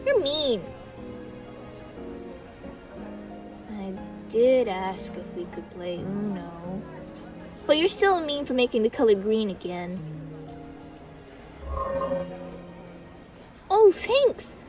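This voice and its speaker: A woman's voice: mid-range voice, very animated, a little slow, recorded from a distance, dull audio, music in the background. A woman playing an innocent, chatty young child in an audio roleplay, eager and rambling. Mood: playful.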